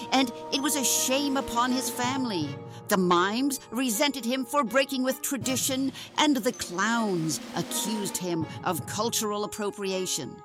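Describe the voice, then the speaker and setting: A woman's voice: mid-range voice, lightly acted. A woman playing a solemn, wise carnival ringmaster in an audio drama, telling tales in a grave, formal manner. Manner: grave, deliberate, formal storytelling.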